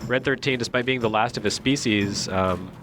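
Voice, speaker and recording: A man's voice: mid-range voice, normal speed, background noise. A man giving casual, joking commentary on video game cutscenes in a wry manner, as a critic and co-host. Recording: loud music behind the voice. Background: video game music.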